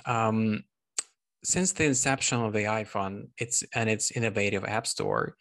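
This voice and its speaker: Podcast host, a man with creaky voice. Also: on the low side, a bit bright. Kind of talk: podcast interview.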